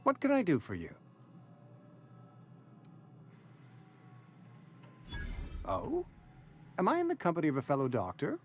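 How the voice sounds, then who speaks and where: Fussy, animated small-town undertaker. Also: male voice, on the high side, racing, faint music behind. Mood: courteous, proud. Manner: prim, theatrical, formal diction. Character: fussy, proud small-town barber-undertaker, loyal company man. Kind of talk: video game character dialogue.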